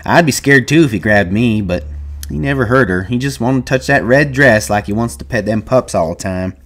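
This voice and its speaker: A man voicing a young ranch hand, earnest and protective, talking in a rough rural drawl. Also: mid-range voice, brisk, fairly bright tone. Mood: worried.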